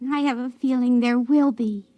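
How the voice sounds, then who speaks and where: An actress playing a wistful, hopeful young space station assistant in a 1950s radio drama, hesitant and earnest. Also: female voice, fairly high pitch, fairly even intonation, moderate pace, close to an everyday voice.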